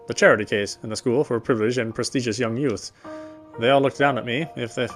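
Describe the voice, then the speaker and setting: Man reading game narration over music. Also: mid-range voice. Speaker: game player reading the text aloud. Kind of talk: scripted narration read aloud in a gameplay video.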